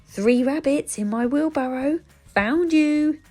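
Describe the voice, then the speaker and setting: A woman voicing a kindly, patient man who keeps a workshop in a children's storybook, gentle and reassuring as he calls out. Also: high voice, takes it slowly, soft background music, pitched above the performer's own voice. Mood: calling out.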